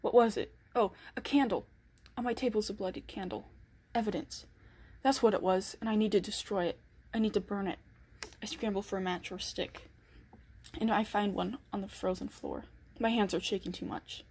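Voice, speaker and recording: A woman playing an anxious, secretive killer in a dramatic first-person period monologue, with a husky voice. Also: mid-range voice, steady tone, moderate pace, darkish tone. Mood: panicked. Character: anxious, secretive 1912 killer snowed in at home.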